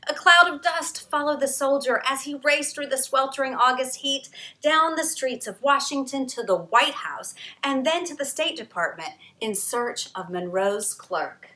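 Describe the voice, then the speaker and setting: A woman reads a children's history story aloud with dramatic pauses and lively emphasis. Her voice is bright and a touch higher than most. The room around her rings with echo. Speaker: author reading her book aloud. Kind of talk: expressive storytime read-aloud.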